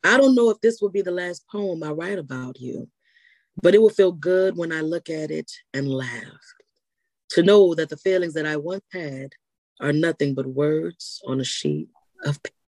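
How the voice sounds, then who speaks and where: A woman's voice: low voice, moderate pace, darkish tone, dry recording. A woman, a singer and poet answering interview questions in a loose, rambling way, often restarting her words. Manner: rambling, repeats words when starting.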